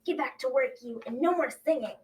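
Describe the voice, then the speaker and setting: A woman's voice: high voice, brisk, natural voice. A school-age girl playing a cruel, bickering fairy-tale giant in an amateur radio drama, scolding and bossy. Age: child about 12 to 13. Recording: distant mic, faint noise.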